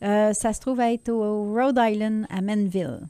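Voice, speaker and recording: A woman's voice: medium pitch, fairly even intonation, moderate pace, warm tone, clear, resonant tone, dry recording, studio quality. Hesitant, laughing Québécois woman guest. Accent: slight Québécois French with a light foreign tinge. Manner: hesitant, laughs often, many fillers. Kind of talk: casual radio interview. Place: radio studio.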